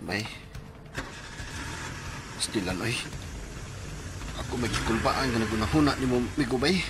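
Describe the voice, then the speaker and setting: An actor playing a nervous, deferential village friend in a radio drama, speaking hesitantly. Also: male voice, medium pitch, animated, unhurried, background noise, lightly acted. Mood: worried. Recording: loud music behind.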